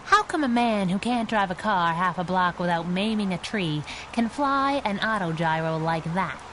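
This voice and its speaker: A woman's voice: fairly low pitch, lively intonation, normal speed, a bit bright. Plucky female crimefighting sidekick, vintage radio serial; sassy, wry, light background noise. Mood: wry banter. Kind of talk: 1930s-style radio adventure acting.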